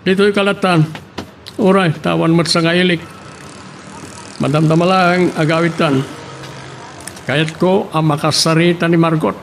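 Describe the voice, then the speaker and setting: High, gravelly Ilocano male boss. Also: fairly even intonation, unhurried, pauses often, a little hiss or hum. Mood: stern, instructing. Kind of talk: Ilocano radio drama acting.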